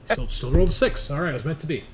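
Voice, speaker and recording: A man's voice: on the high side, lively intonation, racing, noisy background. A man running a tabletop roleplaying game, casually explaining rules and narrating the action with some swearing. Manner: casual, profane. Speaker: game master.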